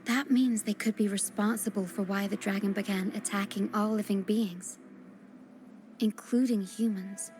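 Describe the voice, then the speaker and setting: An actress voicing a serious, thoughtful young adventurer heroine in a video game, speaking in a measured, earnest way. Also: female voice, medium pitch, fairly even intonation, darkish tone, soft background music, close to an everyday voice. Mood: pensive, serious.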